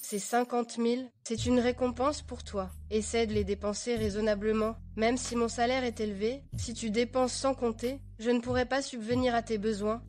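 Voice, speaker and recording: An actress dubbing a practical, matter-of-fact young bride. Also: female voice, a little high, fairly even intonation, normal speed, few pauses, darkish tone, lightly acted.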